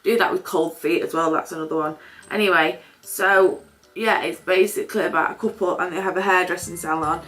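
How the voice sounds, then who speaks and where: A woman's voice: fairly low pitch, fairly even intonation, fairly quick, a bit bright, poor audio. A British woman chatting casually and off the cuff, rambling and self-deprecating in an informal vlog style. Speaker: vlogger. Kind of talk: casual unscripted chatty get-ready-with-me.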